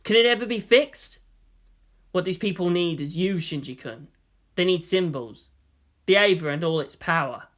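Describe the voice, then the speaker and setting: Bright-voiced dramatic story narrator. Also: medium pitch, expressive, normal speed. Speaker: fan fiction narrator.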